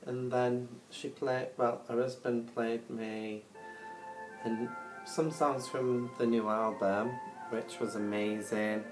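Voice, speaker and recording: Slow, hesitant Yorkshire man. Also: mid-range voice, flat delivery, low-quality recording. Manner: hesitant, lots of ums, earnest. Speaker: vlogger. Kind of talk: casual storytelling to camera. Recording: loud music playing underneath.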